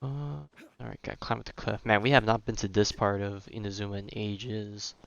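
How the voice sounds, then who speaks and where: A man's voice: lowish voice, flat delivery, a little fast, vocal fry, dull audio. A man giving casual, laid-back gameplay commentary as a streamer, with slangy phrasing. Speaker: game streamer.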